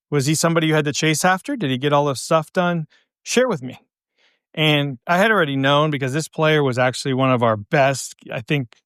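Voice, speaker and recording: A man's voice: a little high, a bit bright, dry recording, studio quality. A man giving casual solo podcast advice as a coach, explaining things conversationally and through anecdotes. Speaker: baseball coach and podcast host.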